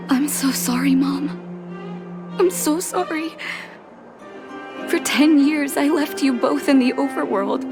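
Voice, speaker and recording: A woman playing a gentle, dutiful young doctor in a video game, with a soft, earnest, sometimes breathy delivery. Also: high voice, slow, pauses often. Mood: apologetic, tender. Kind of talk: video game story voice acting. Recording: music behind the voice.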